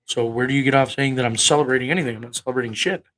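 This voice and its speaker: A man's voice: medium pitch, steady tone, rapid-fire, fairly bright tone, rough recording. Thirties male livestream preacher, mid-range voice, combative and profane, fires rhetorical questions. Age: 30s. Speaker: online preacher. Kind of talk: livestream talk answering chat.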